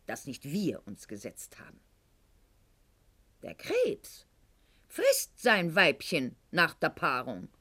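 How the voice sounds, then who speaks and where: An actress playing a proud, sharp-witted aristocratic lady in a radio play monologue, speaking with haughty irony and elaborate eloquence. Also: mid-range voice, exaggerated voice. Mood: defensive, ironic, then biting.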